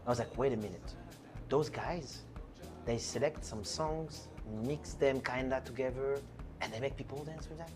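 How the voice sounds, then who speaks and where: A man's voice: mid-range voice, normal speed, music in the background. French guy chatting about his dj path. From France. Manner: chatty, many fillers and restarts. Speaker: DJ and producer guest. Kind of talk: casual interview chat.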